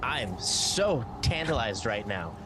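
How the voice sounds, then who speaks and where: A man's voice: on the low side, big pitch swings, a little slow, noisy background. A man playing a tabletop roleplaying game, switching casually between table chat and speaking as his character.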